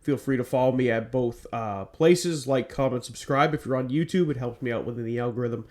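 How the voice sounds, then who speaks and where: A man's voice: mid-range voice, a little fast, darkish tone. Stuffy-voiced man hosting a podcast. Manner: rambling with many ums. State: stuffed up from a cold. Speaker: podcast host. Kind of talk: scripted narration then casual chat.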